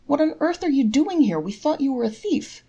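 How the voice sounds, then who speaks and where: A woman's voice: sits high, sing-song, fairly quick, dark tone, lo-fi sound, voice pushed up. A woman voicing a flustered, apologetic male village innkeeper in a storybook folktale. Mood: startled. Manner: stammering, apologetic. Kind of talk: storybook character voice in a read-aloud folktale.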